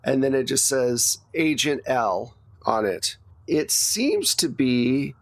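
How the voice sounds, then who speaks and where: A man's voice: medium pitch, lively intonation, slow pace, no echo. A man narrating a tabletop role-playing game as game master in a conversational, explanatory way.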